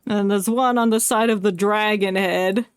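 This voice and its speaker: A woman's voice: medium pitch, steady tone, normal speed, smooth, no room sound. A woman giving casual live commentary on a game, thinking aloud as the game music plays behind. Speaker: game streamer.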